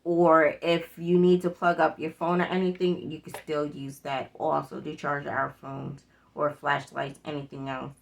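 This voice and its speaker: A middle-aged woman with a slightly low voice talks to her viewers in a casual, chatty way. She hosts a demonstration and circles back over her points to stress them. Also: level tone, unhurried, creaky, a little hiss or hum. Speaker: prepper vlog host. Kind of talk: casual product demonstration chat.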